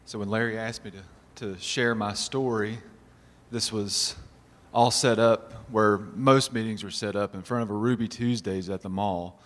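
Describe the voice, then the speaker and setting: A man, giving a halting personal testimony in church, his voice husky from a cold. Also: lowish voice, fairly even intonation. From southern West Virginia. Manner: halting, many restarts and fillers. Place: church sanctuary. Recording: church hall reverb.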